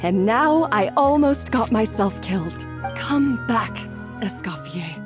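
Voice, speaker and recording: A woman playing an insecure, remorseful young head chef in an anime-style game, halting and emotional, putting herself down. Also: a little high, expressive. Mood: remorseful, tearful. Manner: halting, emotional, self-deprecating. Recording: dull game audio with clear music.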